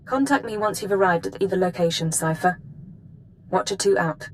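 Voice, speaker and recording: An actress playing an efficient, reserved intelligence analyst in a video game, formal and precise. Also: female voice, on the low side, animated, moderate pace, slight background noise, close to an everyday voice. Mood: brisk, detached. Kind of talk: scripted game dialogue.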